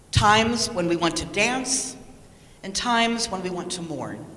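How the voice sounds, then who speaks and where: A woman delivering a scripted sermon with measured, deliberate phrasing. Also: medium pitch, fairly bright tone. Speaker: lay speaker reading a sermon. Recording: echoey hall with long reverb.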